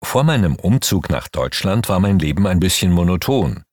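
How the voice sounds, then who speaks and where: A middle-aged man from Romania, a Hungarian native speaker and language teacher, slowly and clearly reading a first-person account for a learning audio. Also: deep voice, big pitch swings, darkish tone, dry recording, studio quality.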